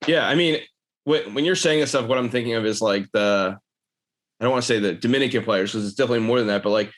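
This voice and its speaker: A man from Philadelphia hosting a podcast, talking in an earnest, animated way and often restarting his phrases. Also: mid-range voice, quick pace. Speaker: podcast host. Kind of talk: casual conversation.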